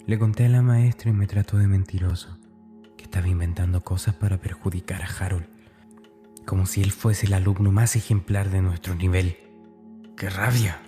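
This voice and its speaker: A man's voice: low voice, warm tone, natural voice. An actor playing a tormented, fearful schoolboy, narrating his diary in a confiding, anguished, dramatic way. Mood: resentful, hurt.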